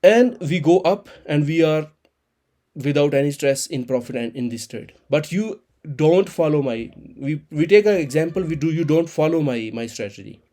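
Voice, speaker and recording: A man in his thirties, an Urdu speaker, explaining a trading chart in halting with many restarts. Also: fairly high pitch, animated, mellow. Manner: halting non-native English, frequent restarts and repeated words. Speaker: crypto trading channel host.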